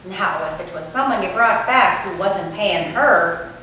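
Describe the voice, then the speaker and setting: A woman's voice: mid-range voice, expressive, a little slow, bright, forceful tone. Woman playing a chatty Victorian housemaid, echoey hall. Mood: chatty, deferential. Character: chatty, kindly young Victorian housemaid, deferential. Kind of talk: staged reading of a stage play. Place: indoor theatre space, staged reading. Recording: very echoey hall, distant mic, faint noise.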